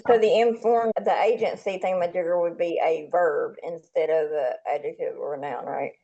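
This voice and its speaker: A woman's voice: lowish voice, moderate pace, dark tone, highs cut off. A woman joining a casual discussion as a participant, checking what the speaker means. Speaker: participant asking questions.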